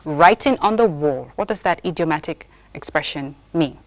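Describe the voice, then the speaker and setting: A woman hosting a broadcast interview, brisk, with many short affirming interjections. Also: low-pitched, expressive, dry recording. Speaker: TV talk show host. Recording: dry studio sound.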